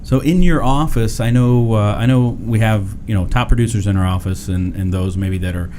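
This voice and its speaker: Guy hosting a real estate podcast, laid back, kinda low voice. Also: a little fast, background noise. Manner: relaxed, restarts questions. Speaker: podcast host. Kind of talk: casual interview.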